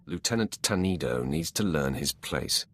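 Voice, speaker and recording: A cold, commanding dark lord from a video game. The voice is extremely deep and husky, full of gravel. Each line is haughty and disdainful, with dramatic rises and falls. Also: male voice, lively intonation, moderate pace, heavily acted. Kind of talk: video game character dialogue.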